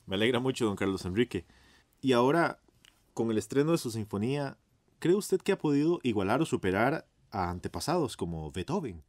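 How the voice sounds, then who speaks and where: A man's voice: medium pitch, very animated, brisk, no echo. A man acting in radio theatre as a courteous, enthusiastic 1950s radio journalist, with a Costa Rican accent and a formal, announcer-like manner. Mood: admiring, courteous. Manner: formal, effusively polite, announcer-like.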